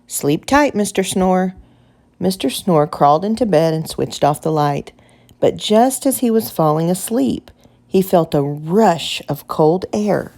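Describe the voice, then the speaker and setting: A woman reading a children's picture book aloud as expressive, scripted narration. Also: lowish voice, unhurried. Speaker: storybook reader.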